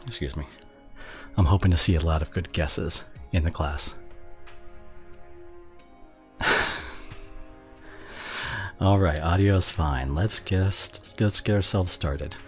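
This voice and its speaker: A man's voice: deep voice, takes it slowly, pauses often, faint music behind. A man streaming from home, chatting casually with viewers in a rambling, self-interrupting way and laughing often. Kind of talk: casual livestream chat with viewers.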